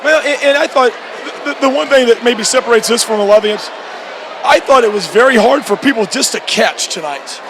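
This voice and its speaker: Male coach, very high voice with fry, restarting phrases in a postgame interview over a loud crowd. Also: lively intonation. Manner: repetitive, halting restarts mid-phrase. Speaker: high school basketball coach. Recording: noisy gym with loud crowd behind. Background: crowd and clear background noise.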